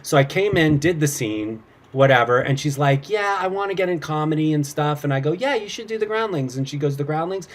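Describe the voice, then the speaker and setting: A man's voice: fairly high pitch, fairly quick, few pauses. A middle-aged man chatting casually as an interview guest, telling stories in an informal way and acting out bits of dialogue. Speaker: guest, actor and improv comedian. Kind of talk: casual chat, anecdotes.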